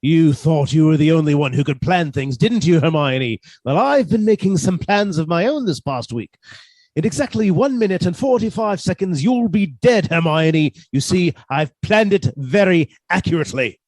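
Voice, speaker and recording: Guy doing a meek husband gone killer, radio suspense play, high voice, fussy n polite, very dry sound. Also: animated, moderate pace, darkish tone, no echo. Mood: gloating, menacing. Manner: fussy, precise, politely menacing. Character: henpecked, meek husband turned coolly gloating murderer. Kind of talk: radio suspense drama acting with narration.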